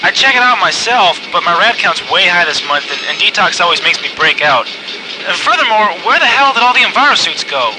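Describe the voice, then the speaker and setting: Casting: male actor as grumbling maintenance worker, high voice, indignant, game log. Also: big pitch swings, a little fast. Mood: irritated. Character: irritated complaining station maintenance man. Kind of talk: video game audio log acting. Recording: noisy audio log with rumbling ambience.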